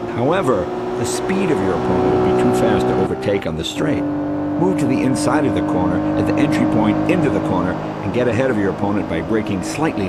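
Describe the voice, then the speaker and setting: A man reading scripted instructional narration for a racing video game tutorial, in an explanatory manner. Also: fairly low pitch. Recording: engine and race car noise throughout. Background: loud race car engines.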